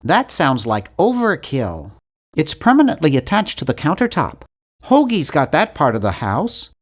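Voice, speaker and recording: A man's voice: a little high, wildly swooping pitch, moderate pace. An actor playing a nerdy, earnest, awkward young man in an adventure game, with a geeky, fussy, wryly polite delivery. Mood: matter-of-fact, fussy. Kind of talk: scripted video game voice lines.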